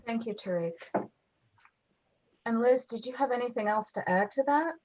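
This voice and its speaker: A woman's voice: a little high, normal speed, darkish tone. A woman moderating a panel discussion, leading from one question to the next. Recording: dull lo-fi audio.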